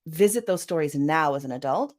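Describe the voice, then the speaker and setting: A Canadian woman with a Vancouver accent speaks in a low, easygoing voice. She is an author guest in a relaxed interview, and her talk rambles with fillers and quick laughs. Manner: chatty, laughs often, many "you know" fillers. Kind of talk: casual interview conversation.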